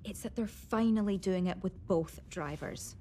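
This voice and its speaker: Actress as articulate motorsport pundit, faint ambience. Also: female voice, mid-range voice, moderate pace, dark tone, a little hiss or hum, close to an everyday voice. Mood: analytical. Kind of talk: sports punditry to an interviewer.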